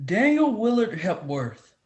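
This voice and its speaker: A man plays a proud, stern professor in a dramatic reading. His voice is notably high and very animated, with formal, reproving diction. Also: unhurried. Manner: formal, reprimanding. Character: stern, proud male professor, demanding authority figure.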